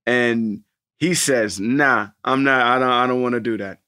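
A man co-hosting a casual podcast, animated and joking, jumping in with questions and asides. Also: medium pitch, a little slow, clean tone. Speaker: podcast co-host. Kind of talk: casual chat.

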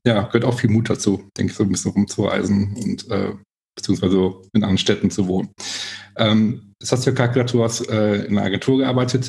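Male podcast host, gravelly fry in the voice, conversational, halting when he forms a question. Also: medium pitch, a little mellow. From Essen, Germany. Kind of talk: conversational interview.

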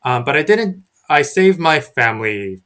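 A young man from Korea, a native Korean speaker, talking as a podcast guest in a soft, hesitant way with many fillers and restarts. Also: on the high side, very animated, a little slow. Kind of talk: casual interview chat.

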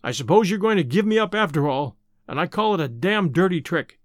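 A man doing a surly rough vagrant. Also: high voice, lively intonation, fairly quick, no room sound, studio quality. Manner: rough, whining. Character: surly down-on-luck man faking a rough persona.

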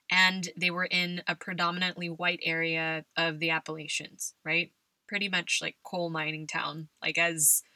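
A woman's voice: lowish voice, pushed and bright, no room sound. A young adult woman from California hosting a podcast, telling personal stories conversationally and laughing often. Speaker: podcast host.

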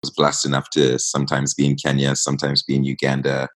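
Guy with a super deep voice, grew up in east africa, chatty guest, lots of um and you know. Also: monotone, brisk. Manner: reflective storytelling, many fillers. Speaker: interview guest. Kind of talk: casual interview talk.

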